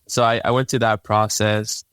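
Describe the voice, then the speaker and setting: A man's voice: lowish voice, moderate pace, smooth, dry recording, studio quality. A young man from Hawaii whose first language is Hawaiian, casually telling his life story as a podcast guest with a laid-back manner. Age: young adult. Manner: laid-back, relaxed, repeats words when starting sentences.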